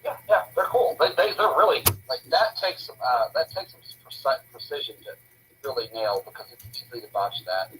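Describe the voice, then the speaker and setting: Young guy, co-host, excitable + swears a lot, voice jumps around, faint hum behind. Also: mid-range voice, normal speed. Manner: excitable, swears freely, acts out moves. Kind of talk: casual wrestling podcast chat.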